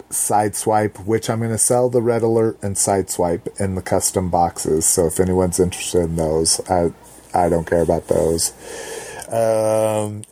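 A man's voice: lowish voice, mellow. A man hosting a podcast in casual chat, rambling and searching for words with many fillers. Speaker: podcast host.

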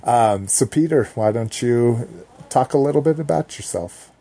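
A man's voice: medium pitch, lively intonation, normal speed, warm tone. A man hosting a podcast in casual chat, rambling and searching for words with many fillers. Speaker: podcast host.

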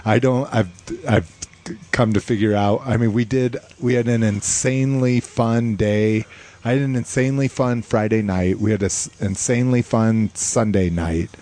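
A man's voice: fairly low pitch, fairly even intonation, normal speed, dark tone. A man co-hosting a podcast, chatting casually in a rambling, self-deprecating way and laughing often. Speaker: podcast co-host. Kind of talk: casual chat.

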